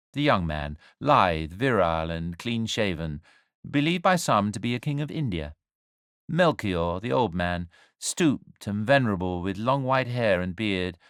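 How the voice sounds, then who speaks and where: Male narrator, low register, very animated intonation, wry literary storytelling with measured delivery. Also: no echo, studio quality. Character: angel narrating the nativity story, wry and urbane. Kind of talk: scripted dramatic storytelling narration.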